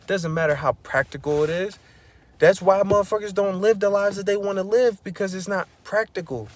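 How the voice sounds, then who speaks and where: A man vlogging a casual motivational monologue, preachy and full of slang, often saying "bro" and "you know what I'm saying," getting loud and high when he is fired up. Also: a little fast.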